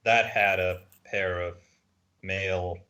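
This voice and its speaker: A man's voice: deep voice, fairly even intonation, slow pace, bright, forceful tone. A man speaking casually as a panellist on a podcast. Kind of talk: casual chat.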